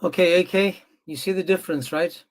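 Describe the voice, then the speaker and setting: A man hosting a live-stream debate, insistent and quick to interrupt. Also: high voice, fairly even intonation. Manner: insistent, interrupting, repeats himself. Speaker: stream host.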